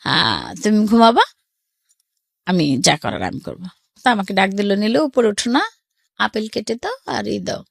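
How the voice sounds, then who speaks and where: A woman's voice: medium pitch, very animated, normal speed, vocal fry, pauses often, slightly rough, dry recording, studio quality. A middle-aged woman with a Bangladeshi accent tells her life story casually and conversationally, often quoting family dialogue.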